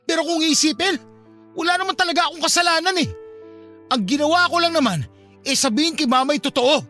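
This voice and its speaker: An actor playing an anguished young adult son in a Filipino radio drama, bitter and pleading, with emotional delivery. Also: male voice, extremely high pitch, fast talker, pushed and bright. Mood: angry, anguished. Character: anguished adult son confronting his cold father. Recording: music bed behind the voice.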